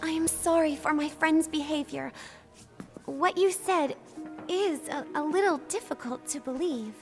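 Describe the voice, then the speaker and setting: A woman playing a kind, shy young peasant goat girl with a gentle, polite manner in an audio drama. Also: sits high, unhurried. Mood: apologetic. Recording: music under dialogue.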